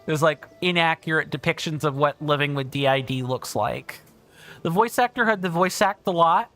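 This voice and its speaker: A man livestreaming a game, chatting casually and reading out viewer comments, with a big booming voice. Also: normal speed, a bit bright, faint music behind. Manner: chatty, thinks aloud, reads viewer comments. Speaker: streamer. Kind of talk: casual gameplay livestream chat.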